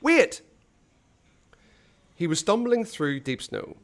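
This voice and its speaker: A man reading a children's adventure story aloud in a dramatic, suspenseful way, with hushed phrase endings. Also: mid-range voice, lively intonation, a little slow. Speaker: storybook reader.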